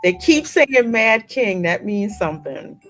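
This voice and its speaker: A woman's voice: medium pitch, very animated, a little slow, fairly bright tone, soft background music. A woman hosting a gaming channel, reading game text aloud and musing conversationally as she comments. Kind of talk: casual game playthrough commentary with read-aloud narration.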